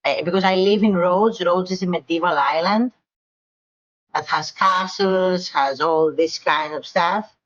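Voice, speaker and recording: A woman's voice: fairly low pitch, measured pace, highs cut off. A woman introducing herself as a player, chatty and eager. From Greece. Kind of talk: casual self-introduction.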